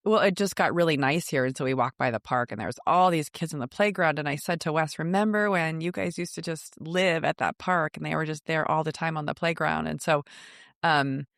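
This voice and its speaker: A woman's voice: sits low, brisk, a bit bright, no echo, clean studio sound. A middle-aged woman co-hosting a podcast, chatting in a casual, reflective, conversational way. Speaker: podcast co-host.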